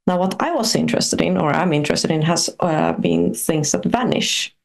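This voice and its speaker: Non-native woman scientist, lowish gravelly voice, hesitant interview answers. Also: huge pitch swings, moderate pace. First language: not English. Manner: hesitant, many uhs, curious. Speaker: guest astrophysicist.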